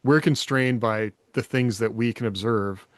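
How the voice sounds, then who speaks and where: A man talks in a casual, musing way, as if working ideas out while he speaks. His pitch sits in the middle for a man. The sound is dry, with next to no room on it. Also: measured pace, no echo. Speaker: podcast co-host. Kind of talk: casual chat about science fiction.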